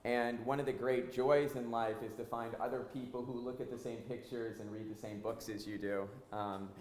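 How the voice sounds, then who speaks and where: A man interviewing an artist onstage before a live audience, speaking hesitantly with many fillers and warm admiration. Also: mid-range voice, fairly even intonation, moderate pace. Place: gallery hall. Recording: roomy hall with faint ambience.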